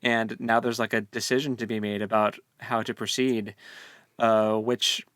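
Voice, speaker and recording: A man's voice: fairly low pitch, flat delivery, moderate pace, a bit bright, no room sound. A man co-hosting a casual book discussion, speaking informally with jokey, slangy phrasing. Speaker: podcast co-host.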